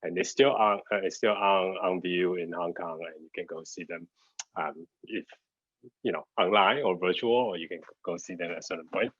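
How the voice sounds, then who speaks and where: Male guest lecturer, middle-aged, Taiwanese accent, deep register, hesitant delivery, close dry sound with little room. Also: fairly bright tone, husky, dry recording. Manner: halting, hesitant, searching for words. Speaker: graphic designer and studio head giving a guest talk. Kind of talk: informal online lecture. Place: at home, on a video call. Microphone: computer video call. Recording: dull, lo-fi video call audio.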